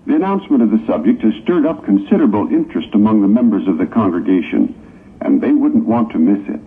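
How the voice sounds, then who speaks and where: An old, thin tape recording with hiss carries a man acting as a stage narrator for a morality play. His low voice moves expressively as he explains things in a measured way. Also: very animated. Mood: explanatory. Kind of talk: scripted narration. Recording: old tinny narrow-band tape with hiss.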